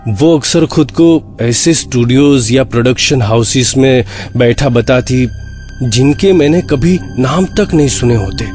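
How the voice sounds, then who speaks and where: An actor playing an anxious, exasperated man who tells his eerie story in a horror audio drama, halting and repeating words for suspense. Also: mid-range voice, wildly swooping pitch, a little mellow, faint music behind. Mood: puzzled recounting. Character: anxious, exasperated male flat owner recounting eerie events. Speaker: narrator and lead of a horror story.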